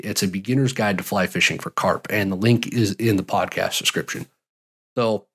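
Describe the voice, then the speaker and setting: A man in his thirties hosting a solo podcast from a home studio, answering listener questions in a relaxed, rambling way, a little raspy from a cold. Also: lowish voice. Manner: relaxed, rambling, frequent uh and restarts. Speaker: podcast host and fly fishing guide. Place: home studio with gear shelves. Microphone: large studio mic, headphones on.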